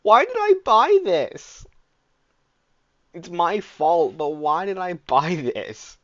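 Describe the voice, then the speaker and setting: Guy streaming, reacting to a game, higher voice that swings all over, dull muffled mic. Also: big pitch swings, takes it slowly, fairly bright tone. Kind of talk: casual live reaction to a game.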